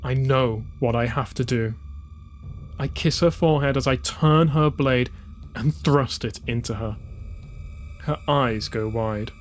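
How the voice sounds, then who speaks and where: A man's voice: medium pitch, a little slow, pauses often, slight background noise. A man giving a dramatic scripted narration as an audiobook narrator. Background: music under the voice.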